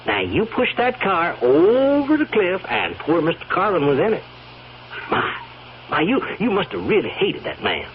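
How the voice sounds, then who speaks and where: Sly rustic male blackmailer, folksy and rambling, very animated pitch, muffled hissy old radio recording. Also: moderate pace. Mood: sly, insinuating. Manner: folksy, rambling, insinuating, chuckling drawl of hesitations. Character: sly folksy male stable hand turned wheedling blackmailer. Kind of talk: old radio drama acting.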